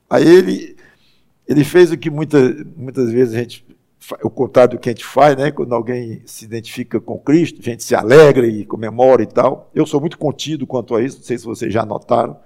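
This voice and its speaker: A man, preaching a conversational sermon as a pastor, halting and restarting often and slowing down for emphasis. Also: mid-range voice, vocal fry, darkish tone.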